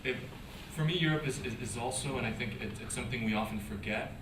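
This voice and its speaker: A man's voice: mid-range voice, fast talker. A man speaking on a conference panel, hesitant, with many fillers and restarts. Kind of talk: panel discussion. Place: conference hall. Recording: echoey conference hall from a distance, background noise.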